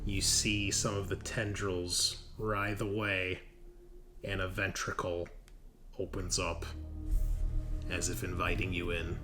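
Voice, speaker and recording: A man's voice: sits low, flat delivery, slow, pauses often, pushed and bright. A man narrating a tabletop horror game as game master in a casual, conversational way. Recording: music underneath. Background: music.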